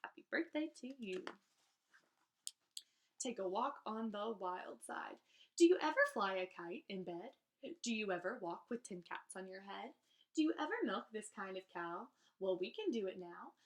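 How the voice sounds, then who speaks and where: A woman's voice: mid-range voice, expressive, moderate pace. A woman reading rhyming children's verse aloud in a theatrical, emphatic teacher's manner, drawing out key phrases.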